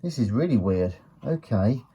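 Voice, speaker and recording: A man's voice: medium pitch, animated, normal speed, dark tone, breathy edge, highs cut off. A British man hosting a hands-on gadget video, chatting casually and thinking aloud as he goes. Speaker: host of a retro electronics teardown video. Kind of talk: casual hands-on commentary while examining a gadget.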